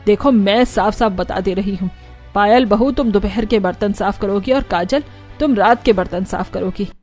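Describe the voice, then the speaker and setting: A woman's voice: mid-range voice, normal speed, a little mellow, faint music behind, close to an everyday voice. A woman voicing a bossy middle-aged mother-in-law in a cartoon family story, with a stern, commanding manner. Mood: angry, exasperated. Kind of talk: animated family story dialogue.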